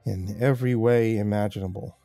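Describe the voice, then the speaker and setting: Man acting a low, grim, sardonic avenger. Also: fairly even intonation, brisk, gravelly, dark tone, no room sound, faint music behind. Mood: weary, dry. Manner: grave, grating, sardonic. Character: grim, sardonic vengeful man back from the dead.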